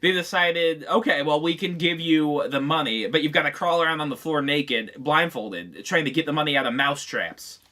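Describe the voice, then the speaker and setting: Bright, higher-pitched American man chatting. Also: normal speed, hardly any pauses. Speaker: podcast co-host. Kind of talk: casual comic chat.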